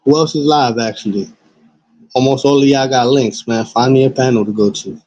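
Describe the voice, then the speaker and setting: New streamer guy, husky smoker rasp, dry and dull lo-fi audio, loose profane chat. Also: mid-range voice, moderate pace, darkish tone, no echo. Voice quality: raspy smoker's voice. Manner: laid-back, rambling, profane. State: high. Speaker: livestreamer. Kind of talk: casual live-stream chat.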